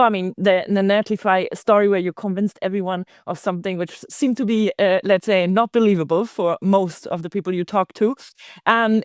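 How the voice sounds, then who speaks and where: A woman's voice: medium pitch, dry recording. Woman podcast host, dry close sound. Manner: informal, halting, thinking aloud. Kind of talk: casual interview chat.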